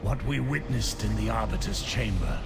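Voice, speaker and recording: An actor playing a troubled, respectful mortal warrior in a fantasy game, grave and halting. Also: male voice, lowish voice, creaky. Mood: awed concern. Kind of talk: fantasy game cutscene acting. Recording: loud music behind voice. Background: game score music.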